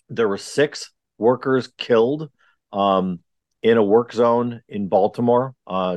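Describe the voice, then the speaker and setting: Lowish, dry-sounding male podcast host. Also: no echo. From Wisconsin. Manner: rambling, many ums and uhs, earnest. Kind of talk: casual podcast chat. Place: remote recording.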